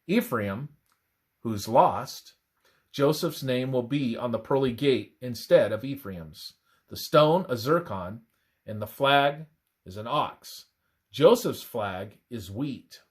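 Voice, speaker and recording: A man reading a scripted religious narration aloud in a deliberate way, halting between short phrases. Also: medium pitch, expressive, slightly rough. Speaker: reader of a religious presentation.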